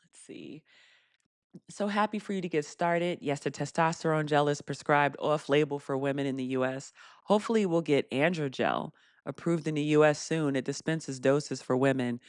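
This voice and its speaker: A woman with a fairly deep voice hosting a casual live stream Q&A. She laughs often and reads viewer comments aloud. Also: normal speed, no room sound, studio quality. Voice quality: deeper voice for a woman.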